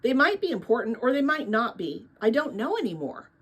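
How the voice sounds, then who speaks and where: A curious young storybook girl, played by a woman reader, eager yet easily discouraged. Her pitch is fairly high and moves around a lot. She is plain-spoken, with a slightly husky edge. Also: very animated, fairly quick. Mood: uncertain.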